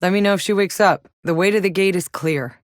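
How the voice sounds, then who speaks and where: A woman's voice: medium pitch, fairly quick, no room sound, clean studio sound, lightly acted. An actress playing a tough, sardonic, battle-hardened soldier in a sci-fi video game, blunt and wry in her delivery. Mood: determined, blunt. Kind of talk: scripted video game character voice lines.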